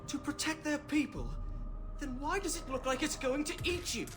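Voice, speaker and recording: A woman's voice: high-pitched, normal speed, loud music behind the voice, natural voice. An actress playing a curious, wry young female elf in a video game. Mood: wary, amazed.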